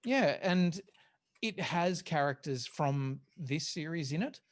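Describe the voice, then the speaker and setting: A podcast panellist with a mid-range voice talks casually among a group. The delivery is halting and hesitant, feeling its way through each thought. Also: mid-range voice, animated.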